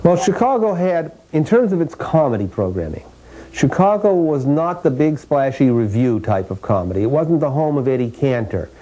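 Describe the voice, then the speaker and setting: An American man giving deliberate historical commentary in an interview, building his point by rephrasing. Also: a little high, big pitch swings, mellow, low-quality recording.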